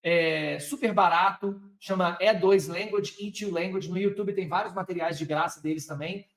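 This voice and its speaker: A Brazilian man with a Brazilian Portuguese accent, teaching a live online lecture on medical exam prep in an energetic, direct way, often asking his viewers rhetorical questions. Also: sits high, normal speed, roomy sound.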